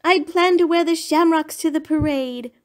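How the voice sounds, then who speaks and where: A woman voicing an eager young male rabbit, high and excited. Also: normal speed, lightly acted. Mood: happy.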